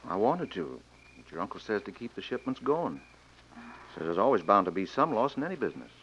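An actor playing an earnest, worried, tender mine owner with an American accent in a radio western. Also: male voice, on the low side, sing-song, a little fast, a bit bright. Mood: resolute, tender. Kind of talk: old-time radio western acting. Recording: muffled, noisy old radio recording.

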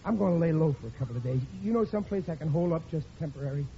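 An actor playing a blundering, guilt-ridden working-class husband in 1940s radio comedy, flustered and plaintive with a stammer. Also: male voice, fairly high pitch, lively intonation, racing, dark tone. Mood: miserable, ashamed. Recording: muffled, noisy old radio recording.

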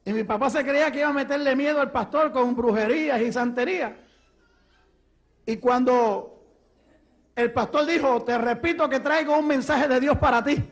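A man with a Puerto Rican accent, an evangelist preaching and telling his testimony with fervor that rises to shouts. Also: very high voice. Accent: Puerto Rican Spanish. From Puerto Rico. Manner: fervent, rising to shouts.

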